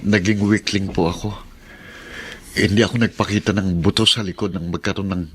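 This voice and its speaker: A man's voice: low voice, fairly even intonation, a little fast, a bit bright, slight background noise. A man acting in a Filipino radio drama as a remorseful, humble young husband. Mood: contrite, pleading.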